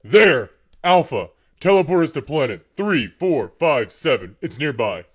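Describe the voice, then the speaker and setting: A man's voice: a little high, big pitch swings, measured pace, husky, exaggerated voice. A man playing a commanding alien leader in an audio drama, urgent and dramatic. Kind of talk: fan audio drama acting.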